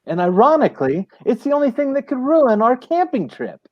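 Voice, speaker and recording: A woman's voice: high voice, big pitch swings, fairly quick. A woman playing a sensible, earnest talking dog superhero in a comedic radio play, on muffled video-call audio. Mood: curious. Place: dim room at home, on a video call.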